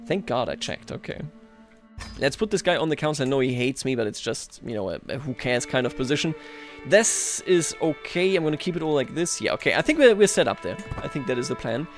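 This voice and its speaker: A man's voice: medium pitch, sing-song, brisk, faint music behind. A man hosting a livestream, chatting casually and thinking aloud over a strategy game in a wry, playful way. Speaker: livestream host.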